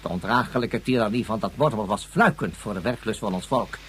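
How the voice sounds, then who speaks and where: A man's voice: fairly low pitch, brisk, natural voice. A man acting a formal, wordy tribesman with a florid manner in a Dutch science fiction radio drama. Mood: scornful, earnest. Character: formal, wordy tribesman with grumbling scorn for tyrant. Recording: slightly noisy radio play recording.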